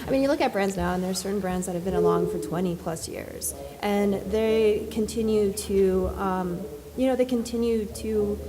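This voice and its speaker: A soft-spoken woman on a panel, answering at length with many fillers and restarts. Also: mid-range voice, moderate pace, few pauses, a crowd in the background. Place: conference hall. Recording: distant reverberant hall with faint ambience.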